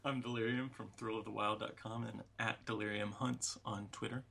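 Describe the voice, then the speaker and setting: Man, casual podcast panelist, creaky voice, fairly even intonation. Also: lowish voice, normal speed, a little hiss or hum. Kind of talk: casual chat.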